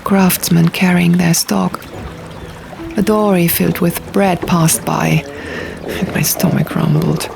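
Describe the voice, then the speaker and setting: A woman reading a first-person fantasy audiobook in a measured, dramatic storytelling manner. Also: fairly low pitch, fairly even intonation, gravelly, noisy background. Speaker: audiobook narrator. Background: music and sound effects.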